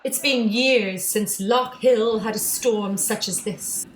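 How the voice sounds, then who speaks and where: Female narrator for a radio folk tale, mid-pitched, in a roomy echo with faint noise. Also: slow pace. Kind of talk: scripted storytelling narration. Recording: echoey room, faint noise.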